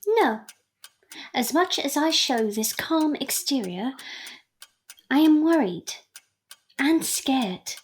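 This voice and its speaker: A woman's voice: high voice, lively intonation, slow pace, pauses often. A young woman playing a prim, perceptive Victorian daughter in an audio drama, formal and earnest. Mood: anxious.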